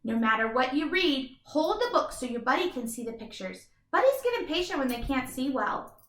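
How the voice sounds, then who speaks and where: A woman doing an expressive children's read-aloud as a teacher, with emphatic, instructive delivery. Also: high voice, normal speed, reverberant. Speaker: teacher reading a picture book aloud.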